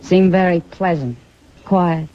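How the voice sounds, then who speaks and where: A woman's voice: fairly low pitch, slow. An American woman playing an easygoing, wry frontier saloon woman in an old-time radio western. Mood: friendly, curious. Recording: dull old-time radio drama recording.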